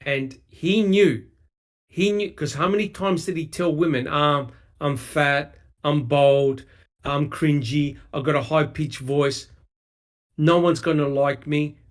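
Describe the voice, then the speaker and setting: A man's voice: on the high side, fairly even intonation, slow pace. Heated, emphatic man. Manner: heated, emphatic, swears, mocks with acted-out quotes. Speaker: podcast co-host. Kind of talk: casual true-crime discussion.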